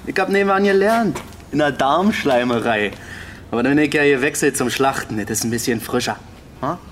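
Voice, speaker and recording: An actor playing a cocky, dim young slaughterhouse worker with a Berlin accent, slangy and boastful, in a radio drama. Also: male voice, mid-range voice, animated, a little fast, fairly bright tone, slight background noise, natural voice.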